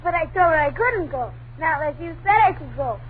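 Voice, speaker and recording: A woman playing an innocent, excitable little boy, childlike and plaintive, in an old-time radio horror drama on a muffled noisy recording. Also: high voice, fairly quick. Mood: pleading.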